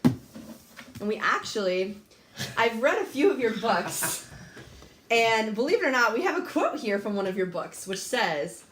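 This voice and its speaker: A woman's voice: on the high side, very animated, unhurried, long pauses, a bit bright, slight background noise. A young woman hosting a scripted mock talk-show interview in an upbeat, read-from-script manner. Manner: upbeat, reads questions from a script. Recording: echoey room.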